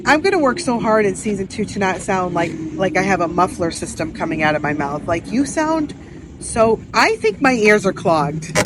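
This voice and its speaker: A young woman co-hosting a casual chat podcast, animated and quick to laugh as she tells stories. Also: medium pitch. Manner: chatty, animated storyteller who laughs often. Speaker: podcast co-host. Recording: noisy background hiss.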